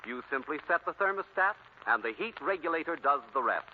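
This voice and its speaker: A man's voice: fairly high pitch, lively intonation, a little fast. A man announcing and reading an advertisement in an emphatic, salesmanlike 1940s radio style. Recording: tinny, noisy 1940s radio recording.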